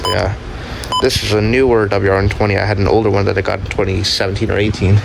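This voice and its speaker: A man's voice: on the low side, level tone, quick pace, gravelly, background noise. A man giving a casual, unscripted show-and-tell as a hobbyist, rambling offhandedly and correcting himself as he goes. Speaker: hobbyist collector showing weather radios.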